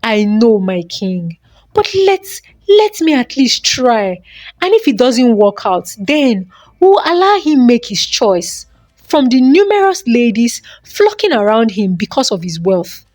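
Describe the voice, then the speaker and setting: A woman's voice: on the high side, sing-song, measured pace, darkish tone, dry recording. A woman voicing a patient, caring queen in a folktale, earnest and respectful. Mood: pleading.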